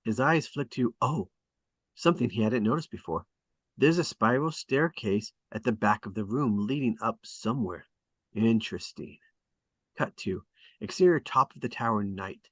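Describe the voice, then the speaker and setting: A man reading stage directions aloud at a script table read, in a deliberate, descriptive way. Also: mid-range voice, lively intonation, a bit bright, dry recording.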